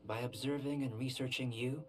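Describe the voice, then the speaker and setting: A man playing a calm, detached young alchemist in a video game, speaking in a measured, formal, analytical way. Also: mid-range voice, slight background noise, close to an everyday voice, rough recording. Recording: faint game ambience behind the voice.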